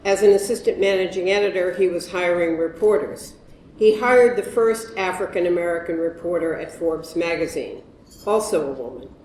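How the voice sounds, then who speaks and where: Woman w a lowish voice handing out an award, plain, a bit halting, echoey room. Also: a little mellow, a little hiss or hum. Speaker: award presenter, widow of the fellowship's namesake. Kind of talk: short presentation remarks. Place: luncheon hall, indoors. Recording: echoey banquet room, faint ambience.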